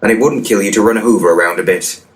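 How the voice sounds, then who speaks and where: A man's voice: fairly low pitch, fast, natural voice. An actor playing a cocky, crude ghost-busting handyman in a comedy audio drama, pompous and matter-of-fact. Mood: blunt, smug. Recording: distant mic, clear background noise.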